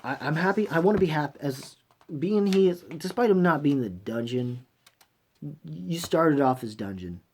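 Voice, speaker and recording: A man hosting a fan review channel, giving unscripted, opinionated commentary in a halting, emphatic, blunt way, often rewording his phrases. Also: fairly high pitch, animated, a little mellow, slight background noise. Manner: blunt, swears freely.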